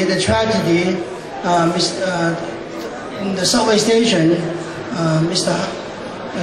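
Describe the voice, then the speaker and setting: Man, ceremonial speech, halting, echoey banquet hall, crowd behind. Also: high voice. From Hong Kong. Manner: halting, many "uh" pauses, loose grammar. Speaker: city council member giving a speech. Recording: echoey hall, distant mic, crowd noise.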